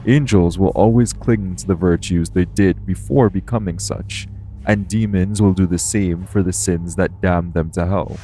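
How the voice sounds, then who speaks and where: Man acting as guardian angel, low-pitched, soft dark tone, formal, measured, earnest, protective, audio roleplay. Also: fairly even intonation, soft background music, natural voice. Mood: solemn, explaining.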